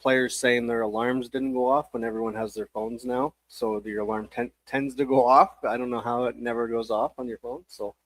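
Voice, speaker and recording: A man speaking as a baseball coach, giving casual, measured interview answers with hesitant phrasing. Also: medium pitch, fairly even intonation, poor audio.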